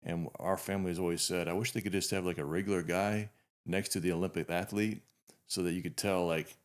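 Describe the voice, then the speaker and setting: Deep-voiced man hosting a podcast. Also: a little fast. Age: 50s. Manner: relaxed, rambling with frequent restarts. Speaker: podcast host. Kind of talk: casual interview chat.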